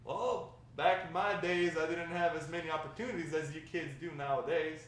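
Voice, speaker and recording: A man playing a nostalgic, talkative Mexican-American grandfather in a table read, warm and encouraging, rambling with hesitant pauses. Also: fairly high pitch, fairly even intonation, natural voice. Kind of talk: amateur table read of a script. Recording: echoey room, distant mic, faint noise.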